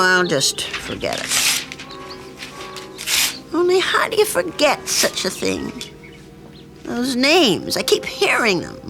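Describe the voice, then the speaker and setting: A woman's voice: mid-range voice, gravelly, full character voice. An actress playing an anxious, flustered elderly landlady with a hesitant manner in an old radio drama. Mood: anxious wondering. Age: older. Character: anxious, fretful elderly landlady, reluctant but conscientious. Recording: vintage radio drama recording.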